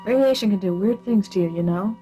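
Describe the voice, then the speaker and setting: A woman's voice: mid-range voice, fairly quick, dark tone, soft background music, lightly acted, low-quality recording. Woman as a plucky female secret agent. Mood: earnest, pleading. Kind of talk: comic radio play acting.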